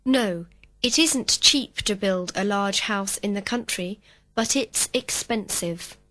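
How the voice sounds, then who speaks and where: Female student reading scripted answers, mid pitch, very slow pace. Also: fairly bright tone. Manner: slow, complete textbook sentences. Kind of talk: scripted full-sentence answers.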